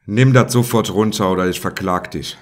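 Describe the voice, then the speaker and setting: A man's voice: fairly low pitch, normal speed, natural voice. A man playing a grumpy, sarcastic little police inspector with a bossy manner in a parody detective audio play. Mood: commanding.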